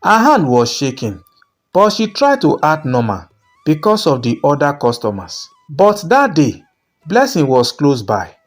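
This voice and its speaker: Storyteller, dramatic folktale narration, lively pitch swings, music behind. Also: a little slow.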